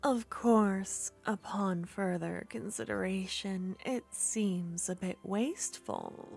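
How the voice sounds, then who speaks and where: A woman performing an ASMR roleplay as a jealous, possessive witch, speaking softly and often whispering. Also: medium pitch, slow pace, creaky, soft background music. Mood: teasing. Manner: soft-spoken, teasing, often whispering. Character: jealous possessive young witch, teasing and haughty.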